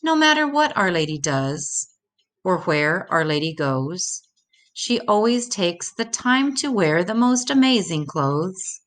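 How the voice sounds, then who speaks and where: An older woman reads a children's picture book aloud as a storyteller. Her voice sits slightly low for a woman. She takes the verse very slowly, phrasing each line deliberately for young listeners. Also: big pitch swings, a bit bright. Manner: measured, deliberate read-aloud delivery. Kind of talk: scripted children's story reading in rhymed verse.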